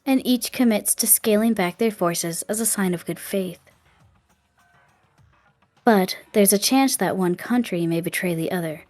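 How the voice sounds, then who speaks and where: Woman as cool analytical schoolgirl, mellow dark tone, detached, scripted game dialogue, faint music. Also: medium pitch, close to an everyday voice. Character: calm, analytical, detached teenage girl student. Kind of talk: scripted explanation in amateur game fan dub.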